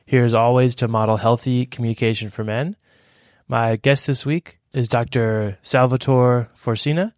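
A man's voice: medium pitch, fairly even intonation, moderate pace. A male podcast host who welcomes his guest and asks plain, friendly questions. His voice sits in an ordinary middle range and is recorded close, with no room echo. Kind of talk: casual interview hosting.

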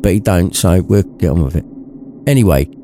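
A man's voice: low voice, animated, brisk, warm tone, slight background noise. A man narrating a story in an irreverent comic style, with wry, sweary asides. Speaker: narrator, podcast reader.

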